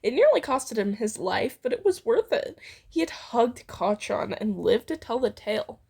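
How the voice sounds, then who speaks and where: A woman's voice: fairly high pitch, very animated, moderate pace, gravelly, a little mellow, breathy edge. A woman casually reading a fan fiction story aloud as narrator.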